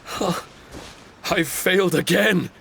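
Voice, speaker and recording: An actor playing an earnest, self-blaming paper spirit in a video game, speaking formally and solemnly. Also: male voice, high-pitched, takes it slowly, gravelly, fairly bright tone, a little hiss or hum, full character voice. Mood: frustrated.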